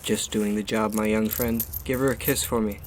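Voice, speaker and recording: A man's voice: fairly low pitch, fairly even intonation, moderate pace, slight background noise, natural voice. A man playing an old street flower seller in a radio play, with folksy, familiar salesman patter. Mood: friendly advising. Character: chatty old male street flower vendor.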